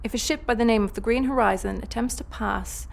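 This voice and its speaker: Menacing female galactic villain, gravelly fry, measured, icy authority, sci-fi drama. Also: a little high. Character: cold, commanding female villain warlord, calmly menacing. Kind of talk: sci-fi audio drama acting.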